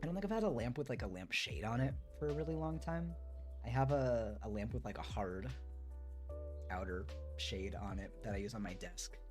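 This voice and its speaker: A man's voice: fairly low pitch, animated, normal speed, darkish tone, soft background music. A man on a livestream, moving between reading a book aloud and chatting casually with listeners. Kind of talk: read-aloud storytelling mixed with casual chat on a livestream.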